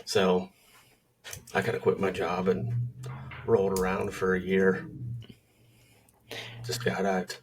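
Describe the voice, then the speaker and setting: A man's voice: deep voice, monotone, takes it slowly, pauses often, a little hiss or hum. A man in his forties co-hosting a podcast, talking in an easygoing, laid-back way about his skydiving days. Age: 40s. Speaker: podcast co-host, skydiving instructor. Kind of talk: casual chat. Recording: voice from far off the mic.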